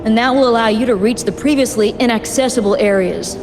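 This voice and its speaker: Composed, higher-pitched female guide, voiced by an actress. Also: a little fast, close to an everyday voice. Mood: instructive. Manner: calm, explanatory. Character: composed, knowledgeable female guide, apologetic and precise. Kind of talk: video game voice acting. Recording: background music under the voice.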